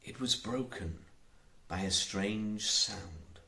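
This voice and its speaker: A man reading a children's storybook aloud as an audiobook narrator, in a theatrical, expressive way. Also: fairly low pitch, slow pace, vocal fry. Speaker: audiobook reader.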